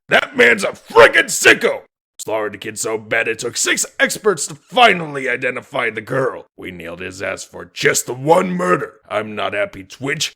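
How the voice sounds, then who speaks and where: A man acts out an angry comic-book cop with a harsh, raspy bark. He is blunt and indignant, and his pitch jumps around forcefully. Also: full character voice. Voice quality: gravelly, raspy. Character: gruff, angry, disgusted male police detective. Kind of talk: comic dub acting.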